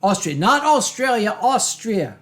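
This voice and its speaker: A man's voice: sits high, big pitch swings, measured pace, fairly bright tone. A man from the United States hosting a livestream with boozy casual chat and storytelling in a theatrical, bantering way. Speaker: livestream host.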